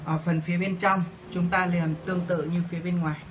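A man's voice: sits high, flat delivery, brisk. A man giving step-by-step tutorial instructions as a demonstrator. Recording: clearly noisy room recording.

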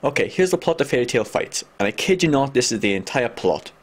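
A man's voice: mid-range voice, very animated, quick pace. A young man giving an exasperated, sweary, informal comedic game review. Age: young adult. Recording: noisy home room recording.

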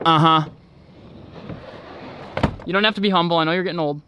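A man's voice: fairly high pitch, steady tone, a little fast, noisy background. A man vlogging outdoors, narrating a casual walkaround in a rambling, informal way. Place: outdoors in a driveway beside a truck.

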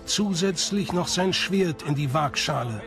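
A man's voice: a little high, a little slow, creaky. A man reading scripted narration for a documentary. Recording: music behind the voice. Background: background music.

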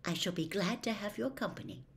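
A woman in her sixties voicing an eager young girl far from home, raising her voice into a brighter, questioning tone. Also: medium pitch, brisk, natural voice.

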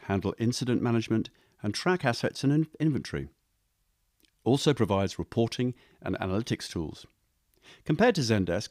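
A man reading a scripted explainer voice-over for a software review video, recorded close to the mic. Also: on the low side, dry recording.

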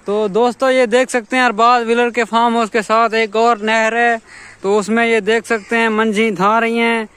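A young man talks into a phone held at arm's length, outdoors. His voice sits high, and faint outdoor noise runs behind him. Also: little pitch movement, moderate pace, fairly bright tone. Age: young adult. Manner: chatty, addresses viewers as friends. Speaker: vlogger. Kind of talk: casual vlog narration. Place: outdoors on a village road and farm.

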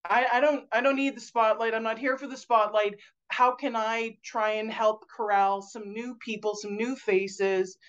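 A woman in her forties, a guest on a video call interview, talking loudly and animatedly with lots of fillers and restarts. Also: a little high, fairly even intonation, moderate pace, hardly any pauses, muffled recording. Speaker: guest author. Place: home room indoors. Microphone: video call webcam.

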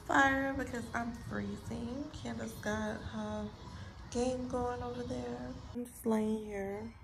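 A chatty woman talks into a phone held close in a small bedroom. Her mid-pitched voice has a gravelly fry to it, and faint room noise hums behind her. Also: fairly even intonation, slow pace. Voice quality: gravelly morning voice. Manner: chatty, laughing, addresses viewers as y'all. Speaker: vlogger. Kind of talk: casual chat to camera. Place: small bedroom at home, indoors. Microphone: phone held close or propped at arm's length.

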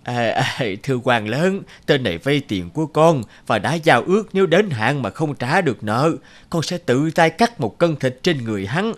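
A man voicing a cruel, rich landlord in an animated folk tale, haughty and scheming. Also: medium pitch, normal speed, few pauses, close to an everyday voice. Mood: fawning. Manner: haughty, threatening, turning fawning before officials.

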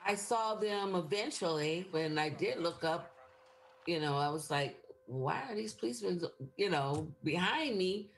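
A woman's voice: fairly low pitch, animated, a little slow, gravelly. A woman in her sixties giving answers in a television news interview. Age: 60s. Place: indoor room. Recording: noisy replayed remote interview.

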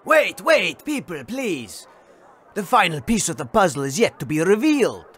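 An actor playing a grandiose crackpot treasure hunter who draws out every revelation in a comedy audio drama. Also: male voice, high-pitched, big pitch swings, fairly bright tone, husky, exaggerated voice. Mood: grandly mysterious. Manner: grandiose, drawn-out suspenseful delivery. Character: theatrical male crackpot treasure hunter revealing secrets.

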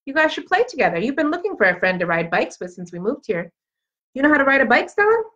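A woman's voice: sits high, very animated, fast talker, pushed and bright, lo-fi sound, heavily acted. A woman voicing a friendly, cheerful father in a children's storybook. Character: friendly, grinning father of a schoolboy. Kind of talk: children's storybook read aloud.

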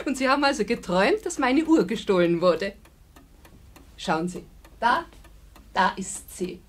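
A friendly female customer from a children's radio play. She has a fairly high voice. Her manner is courteous and chatty, with a touch of puzzlement. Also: measured pace, pauses often. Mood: amused. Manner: polite, chatty.

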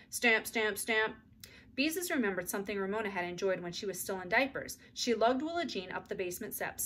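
A woman's voice: medium pitch, a bit bright, slight background noise. A middle-aged woman narrating a children's book expressively, reading aloud indoors at home. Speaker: read-aloud storyteller.

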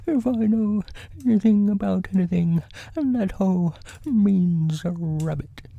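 A storyteller voicing a gentle, dim, good-natured male toy bear from a children's storybook, with a musing, bumbling manner. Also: medium pitch, unhurried, creaky, no room sound, phone-quality sound, voice pushed up. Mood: pondering. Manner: musing, bumbling, sing-song humming.

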